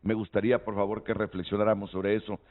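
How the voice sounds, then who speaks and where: TV host on a tinny line. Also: male voice, lowish voice, flat delivery, fast. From Honduras. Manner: questioning, hesitant restarts, invites opinions. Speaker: TV talk show host. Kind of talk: moderating a panel discussion. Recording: tinny lo-fi broadcast audio.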